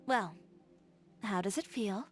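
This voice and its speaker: An actress voicing a confident, assertive young tailor who talks in a dry, candid way in a video game. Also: female voice, mid-range voice, slow pace, music in the background, close to an everyday voice. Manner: self-assured, dry and candid.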